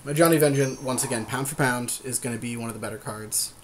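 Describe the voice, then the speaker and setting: A man's voice: medium pitch, fast talker, slight background noise. A man co-hosting a podcast, chatting casually in a laid-back, agreeable way. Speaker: podcast co-host. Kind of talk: casual chat while drafting a card game.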